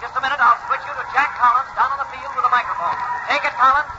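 Very high-pitched, excited ballgame announcer. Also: male voice, very fast. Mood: urgent. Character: excited male baseball radio play-by-play announcer. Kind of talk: 1940s sports commentary acting. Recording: muffled, hissy vintage radio broadcast.

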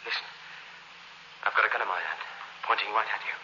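An actor playing a cold, cynical killer in 1960s radio suspense style, terse and hard-boiled. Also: male voice, fairly low pitch, fast talker, bright, forceful tone. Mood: cold, menacing. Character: cold, cynical male killer posing as an heir, confessing. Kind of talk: 1960s radio suspense acting, first-person narration. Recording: noisy, muffled old radio recording.

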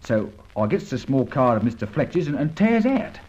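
An actor playing a chatty, deferential Cockney ex-burglar sidekick in an old-time radio drama, rambling and hesitant in colloquial speech. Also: male voice, medium pitch, sing-song, normal speed, darkish tone, a little hiss or hum, close to an everyday voice. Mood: hesitant, eager. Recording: dull, muffled old radio recording.

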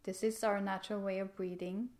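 Female meditation guide, mid-pitched and level, gentle, unhurried instruction with room to breathe. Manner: calm, gentle, unhurried with pauses.

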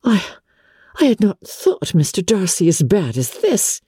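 A woman voicing an indignant, easily shocked young gentlewoman in a dramatic storybook reading, earnest and exclamatory. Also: on the low side, big pitch swings, a little slow, slightly rough, no echo, clean studio sound, pitched above the performer's own voice, exaggerated voice. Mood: appalled, earnest.

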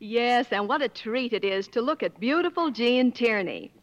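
Female radio commentator, 1950s, highish voice, gushing, polished, scripted promo, muffled vintage sound. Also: animated, normal speed. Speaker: guest Hollywood commentator.

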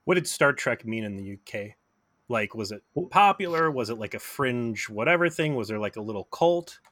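A man's voice: mid-range voice, lively intonation, normal speed, a bit bright, dry recording, studio quality. A man from Cleveland co-hosting a podcast and interviewing a guest, eager and chatty, with frequent restarts. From Cleveland, USA. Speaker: podcast co-host.

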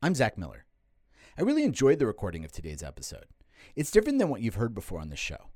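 A man hosting a podcast, reading a clear, steady introduction and asking guests questions. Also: lowish voice, quick pace, no echo, clean studio sound. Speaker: podcast host. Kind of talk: scripted podcast intro and interviewing.

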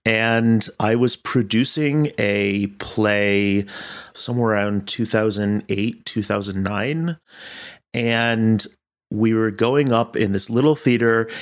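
A man's voice: fairly low pitch, fairly even intonation, slow pace. An American man answering interview questions as a director, explaining his work in a reflective, conversational way. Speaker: director and producer being interviewed. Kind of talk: casual interview.

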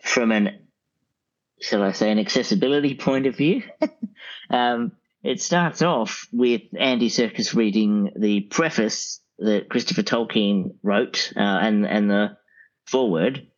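Guy guest on a review podcast, lowish voice, careful halting phrasing, sound is kinda dull and lo-fi. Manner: halting, considered, deliberate phrasing. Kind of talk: conversational review.

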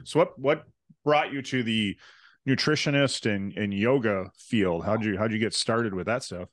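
A man's voice: fairly low pitch, moderate pace. Easygoing male podcast host. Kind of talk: casual interview.

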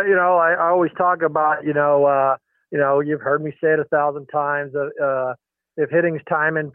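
Middle-aged man, pitching coach, phone-line sound, higher voice, halting, lots of fillers. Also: dry recording. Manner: halting, many fillers and restarts. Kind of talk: phone interview.

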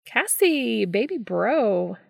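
A woman reading a story aloud and voicing a flamboyant, brash older brother who talks loud and teasing. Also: fairly low pitch, animated, moderate pace, gravelly, no echo, studio quality.

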